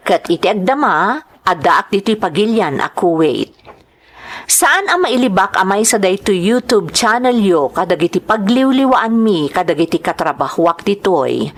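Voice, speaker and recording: A woman with an Ilocano accent narrating a letter in a scripted style as host of a drama programme. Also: mid-range voice.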